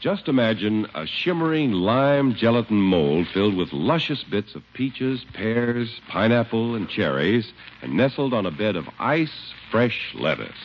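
A man's voice: fairly low pitch, sing-song, a little slow. A man announcing in 1950s radio style, reading scripted advertising and narration with emphatic salesman phrasing. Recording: muffled 1950s radio recording, faint noise.

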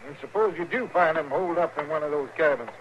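An actor playing a crusty, argumentative old American judge in a radio western, exasperated and crotchety. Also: male voice, a little high, fast, close to an everyday voice. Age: older. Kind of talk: 1950s radio drama acting. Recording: dull, hissy 1950s radio recording.

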